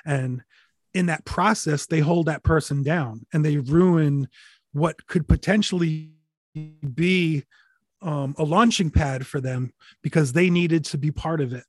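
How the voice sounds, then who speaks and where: A man being interviewed, telling stories in a relaxed, rambling way with many fillers, restarts and casual swearing. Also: a little high, unhurried, dry recording. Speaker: interview guest, recording artist. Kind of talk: relaxed conversational storytelling.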